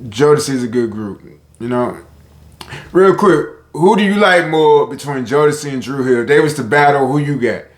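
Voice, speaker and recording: Rambling man with a deep voice. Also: animated, moderate pace, echoey room. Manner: rambling, self-interrupting, talks to viewers. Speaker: vlogger. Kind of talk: casual talk to camera.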